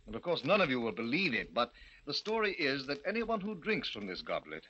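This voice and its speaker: An actor playing a nervous, haunted gentleman host in a 1940s radio mystery, theatrical, grave and hesitant, on an old recording. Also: mid-range voice, wildly swooping pitch, brisk, muffled recording, exaggerated voice. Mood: grave, confessing. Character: nervous, haunted host who believes in a curse.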